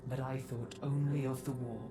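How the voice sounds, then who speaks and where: A woman playing an ancient, stern and remorseful centaur guardian in a fantasy game, speaking gravely and formally. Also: a bass voice, flat delivery, music in the background, poor audio. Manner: grave, slow, formal delivery. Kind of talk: dramatic fantasy game voice acting.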